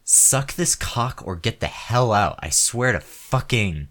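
A man playing a deep, slow, cursing brute. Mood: furious. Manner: heavy cursing, snarling. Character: crude, hot-tempered man turned frightened and pleading. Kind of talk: one-sided audio roleplay.

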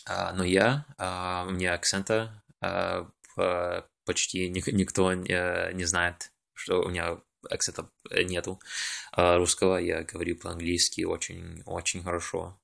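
A young man who left Russia as a child, talking casually and hesitantly, often pausing with fillers as he searches for words. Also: low-pitched, monotone, creaky, fairly bright tone. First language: English now dominant. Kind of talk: casual monologue recording.

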